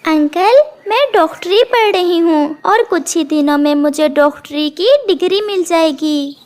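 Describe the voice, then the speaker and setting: Woman voicing a high, sweet young bird girl. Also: measured pace, a little mellow. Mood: polite, eager. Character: cheerful young studious bird daughter, sweet and polite.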